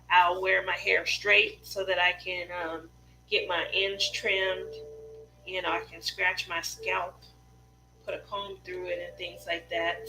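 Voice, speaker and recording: Casting: middle-aged woman, craft stream host, bright forward voice, chatty and rambling, slight background noise with hum. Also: medium pitch, low-quality recording. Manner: chatty, rambling personal storytelling. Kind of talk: casual chat with live viewers.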